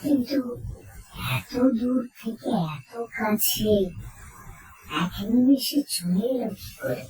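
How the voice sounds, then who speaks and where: A woman narrating a first-person horror story in a suspenseful, theatrical way with dramatic pauses. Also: a little high, a little mellow. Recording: roomy echo, distant from mic.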